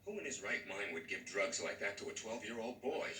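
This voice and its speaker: A man's voice: on the low side, sing-song, brisk, pushed and bright. An actor playing an upset, indignant American working father in a 1960s television drama. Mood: angry, bitter. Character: upset, indignant working father of a twelve-year-old boy. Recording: echoey, distant old TV audio, faint noise.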